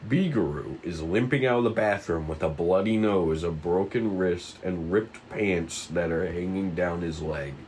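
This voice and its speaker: A man reads out stage directions in a low voice at a slow pace. He narrates haltingly, tripping on words at times, with faint noise in the background. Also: a little mellow. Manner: halting, often stumbling over the script. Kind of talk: reading script stage directions at a table read.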